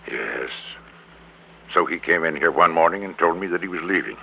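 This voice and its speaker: A middle-aged schemer at the end of his rope in a vintage radio drama. His very deep, creaky voice halts and repeats itself with resignation. The sound is crackly and narrow, like an old broadcast. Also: animated, exaggerated voice. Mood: rueful confession. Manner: halting, resigned, repeats words for emphasis. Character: defeated, greedy middle-aged businessman confessing to murder.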